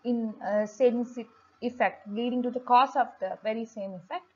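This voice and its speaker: A woman giving an explanatory lesson as a lecturer, speaking haltingly with frequent pauses and repeated words. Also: fairly high pitch, steady tone, warm tone, husky. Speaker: lecturer, host of an economics channel. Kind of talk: explanatory lesson read from slides. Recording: dull, lo-fi home recording.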